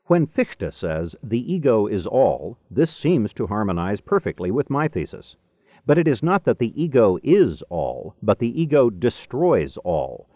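A man reading philosophy as an audiobook narrator, with a measured, emphatic delivery and deliberate pauses, on a dull cassette recording. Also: on the low side, a little mellow, no echo. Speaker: audiobook reader.

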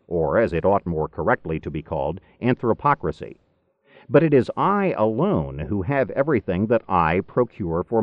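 Male narrator for scripted philosophy, very animated intonation, deliberate pauses, close dry sound on lo-fi tape. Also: on the low side, no echo. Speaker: audiobook reader. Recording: dull, lo-fi cassette tape recording.

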